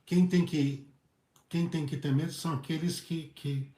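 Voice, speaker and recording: A hoarse male priest with a Brazilian Portuguese accent, preaching earnestly in halting phrases and often repeating his words. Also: on the high side, fairly even intonation, mellow. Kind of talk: preached talk on a video channel. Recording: echoey room.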